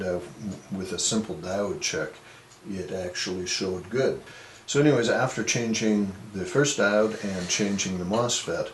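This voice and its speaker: A man with a lowish voice talks through a hands-on repair job. His delivery is very slow, hesitant and unscripted. The sound is noticeably roomy, with a noisy background. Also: far from the mic. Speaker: electronics repair video host. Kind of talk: hands-on repair tutorial narration.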